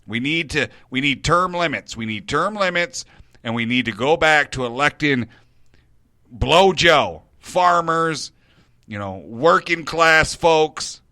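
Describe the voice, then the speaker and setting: A male radio host talks straight into a studio mic in a blunt opinion monologue. His voice is forceful and bright, smooth and clear, with a dry, close sound. He leans on repetition to hammer his points home. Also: on the high side, animated, takes it slowly, no room sound. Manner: emphatic, repeats words for stress, blunt.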